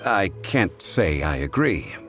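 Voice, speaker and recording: An actor playing a calm, courteous, self-assured gentleman scholar in a video game, in a measured, formal manner. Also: low-pitched, faint music behind, exaggerated voice. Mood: calmly disagreeing.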